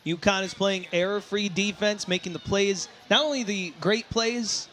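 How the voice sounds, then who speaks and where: A man calling a baseball game live as play-by-play announcer, excitable and given to shouting on big plays. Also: sits high, moderate pace, slight background noise. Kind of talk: live sports commentary. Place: outdoor baseball ballpark.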